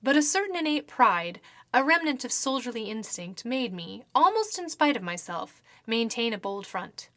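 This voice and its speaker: A woman's voice: on the high side, very animated, moderate pace, a bit bright, no echo, studio quality. A woman reading a first-person horror story as dramatic scripted narration, with theatrical, precise delivery. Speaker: story reader.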